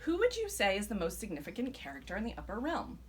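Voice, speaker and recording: A woman's voice: medium pitch, huge pitch swings, fast, pitched above the performer's own voice. A woman voicing an eager audience member asking a fan panel questions in a novel. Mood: inquiring. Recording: live event with background noise.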